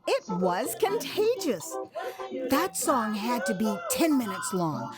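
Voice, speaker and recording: Expressive high-voiced American woman telling her story. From Texas, United States. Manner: expressive, theatrical delivery with sighs and pauses. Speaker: author narrating her own memoir. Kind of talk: dramatized first-person audio memoir storytelling. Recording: music behind the voice. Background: music.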